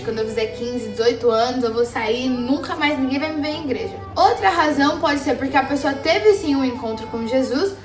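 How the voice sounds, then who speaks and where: A young woman vlogger chatting casually and motivationally, animated and slangy, speaking straight to her viewers. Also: high voice. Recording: roomy echo, faint background music.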